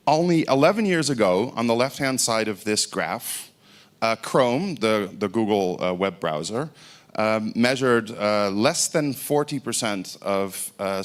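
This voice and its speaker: A man's voice: lowish voice, a bit bright. A middle-aged Dutch man with an American-sounding accent, giving an informal technical talk from a conference stage, with many fillers and restarts. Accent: American-sounding English. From Netherlands. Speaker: conference speaker.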